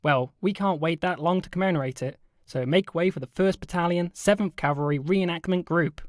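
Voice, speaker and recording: A man voicing an excitable air show announcer in an audio story, showy and projected, hyping up a crowd. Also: a little high, brisk, dry recording, clean studio sound, voice pushed up, lightly acted. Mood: hyped.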